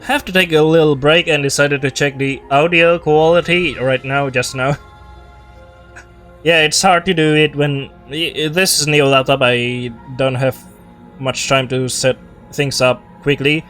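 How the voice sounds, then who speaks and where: High-pitched man doing casual game commentary. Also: fairly even intonation, unhurried, fairly bright tone, faint music behind. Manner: playful, offhand reactions, echoes game lines. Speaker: game streamer. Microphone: own microphone with compression.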